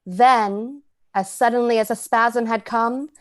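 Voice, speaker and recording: A woman playing a cold, predatory poisoner who narrates an old-time radio drama in a measured, dramatic way. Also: fairly high pitch, steady tone. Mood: intent, detached. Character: cold, predatory, calculating poisoner telling the tale.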